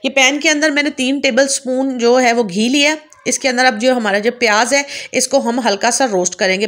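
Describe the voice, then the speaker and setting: A woman's voice: on the high side, a bit bright. A woman hosting a home cooking channel, patiently explaining each step and often checking in with viewers. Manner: patient, repeats "theek hai" to check in with viewers. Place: home kitchen.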